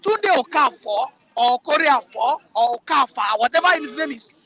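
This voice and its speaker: Heated nigerian guy arguing politics, high bright voice, keeps repeating himself. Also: animated, moderate pace. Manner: combative, mocking, repeats himself.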